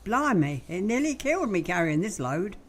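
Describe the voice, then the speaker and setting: Woman as brash English shopgirl, very animated. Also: medium pitch, normal speed, slight background noise, lightly acted. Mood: brash, grumbling. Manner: blunt, saucy retorts. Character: brash, quick-witted young working-class female shop assistant. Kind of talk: broad radio sitcom acting.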